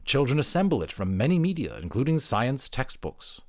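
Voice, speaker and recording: A man voicing a big, patient gorilla teacher in an audiobook, speaking in a measured, deliberate, probing way. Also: mid-range voice, big pitch swings, pitched above the performer's own voice, lightly acted. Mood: probing, insistent. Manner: measured, deliberate, pointedly Socratic. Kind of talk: dramatic audiobook character acting.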